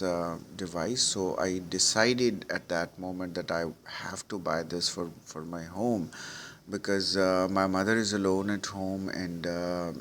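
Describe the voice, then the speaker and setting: A man's voice: deep voice, fairly bright tone, a little hiss or hum. A middle-aged man, an Urdu speaker, giving sample IELTS speaking answers with many fillers and restarts. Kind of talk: model exam answers with Hindi-Urdu prompts.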